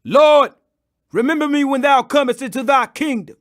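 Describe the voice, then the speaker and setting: Man playing an earnest, high-voiced penitent criminal. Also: moderate pace, fairly bright tone. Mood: pleading. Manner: earnest, emphatic. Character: penitent condemned criminal, male, earnest and rebuking.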